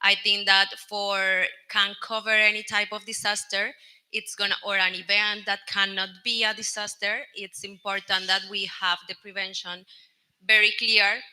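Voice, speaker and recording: A woman from Colombia speaks on a business panel with a clear Colombian accent, choosing her English words carefully. Her voice is very bright and she keeps an even, flat melody. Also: medium pitch, normal speed, rough recording. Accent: Colombian Spanish. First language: Spanish. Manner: careful non-native English with hesitations. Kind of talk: panel discussion answer.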